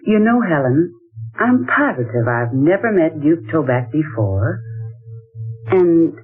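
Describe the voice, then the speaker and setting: An old, tinny radio recording carries a dreamy young woman in her twenties. Her voice is husky and uneasy, rising and falling widely in classic 1940s drama style. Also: sits low, sing-song, slow, soft background music, full character voice. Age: 20s.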